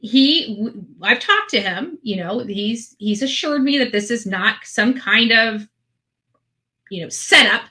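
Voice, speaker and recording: A woman's voice: a little high, animated, unhurried, sharp tone. A woman host talking casually and ramblingly to her online followers, earnest and emphatic, often repeating phrases for effect. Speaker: online video channel host.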